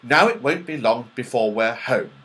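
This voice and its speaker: A man voicing an eager, adventurous young boy in a children's storybook, with urgent, excitable delivery. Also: medium pitch, normal speed, natural voice. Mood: cheerful, brisk.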